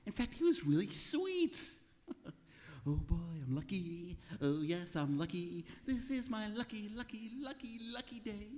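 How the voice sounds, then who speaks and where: A man's voice: high voice, sing-song, a little slow, darkish tone. A man playing a nervous, exasperated department store clerk who stammers and mutters to himself in an old-time radio comedy. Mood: giddy relief. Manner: stammering, fretful, muttering self-pitying asides. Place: live performance in a museum hall. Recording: reverberant hall, live stage recording.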